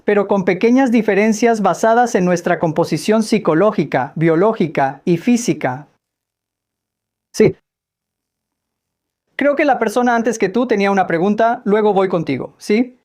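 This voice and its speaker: A woman leads a question-and-answer lecture with her voice at a middle pitch. She explains points patiently and turns questions back to her listeners. Now and then she drops a light joke. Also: darkish tone. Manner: patient, explanatory, quizzes the audience with light jokes. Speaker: speaker answering audience questions on Islam. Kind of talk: Q&A lecture in Spanish voice-over.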